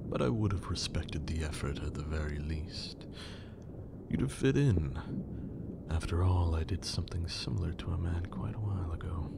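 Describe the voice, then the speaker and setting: A man playing a haughty, menacing vampire lord in an ASMR roleplay, with condescending, theatrical speech and a breathy, creaky voice. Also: deep voice, very noisy. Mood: dry, condescending. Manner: slow, condescending, theatrical, archaic wording.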